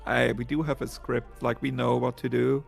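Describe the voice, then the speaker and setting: A man giving casual, explanatory speedrun commentary in a halting, hesitant way. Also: medium pitch, little pitch movement, vocal fry. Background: video game music.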